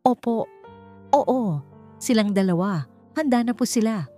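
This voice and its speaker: Secretive mother, played by a woman narrator: hushed, mellow, anxious, submissive, audiobook story with music underneath. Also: on the high side, animated, unhurried, voice pushed up. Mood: fearful, deferential. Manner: deferential, fearful. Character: anxious, secretive mother, deferential and fearful on phone.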